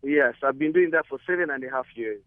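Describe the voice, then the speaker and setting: A man's voice: medium pitch, quick pace, dry recording. Muffled caller, man from Zambia. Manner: earnest, hesitant with fillers. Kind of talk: radio call-in. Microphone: phone line. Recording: muffled phone line.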